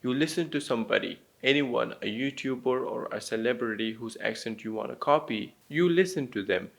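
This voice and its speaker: Male online language teacher, Pakistani-American blended accent, medium pitch with vocal fry, plain encouraging explanations. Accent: Pakistani with some American influence. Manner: encouraging, simple step-by-step explaining. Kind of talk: instructional talk to viewers.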